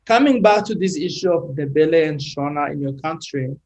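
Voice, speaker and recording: A man from Rwanda interviews a guest in a thoughtful, halting way, with frequent repeated words. His voice is quite high for a man. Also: lively intonation, a little mellow. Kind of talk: interview conversation.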